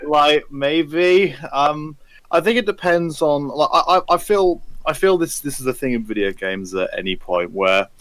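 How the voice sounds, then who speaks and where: A man's voice: fairly high pitch, animated, measured pace, a bit bright, slight background noise, poor audio. A man chatting casually as a panellist in a group podcast discussion, informal and hesitant in how he talks.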